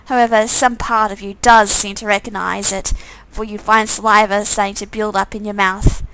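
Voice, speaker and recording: A woman's voice: mid-range voice, little pitch movement, moderate pace, a bit bright, poor audio. A woman reading a visual novel game aloud in dramatic narration, breaking off for casual, off-the-cuff comments.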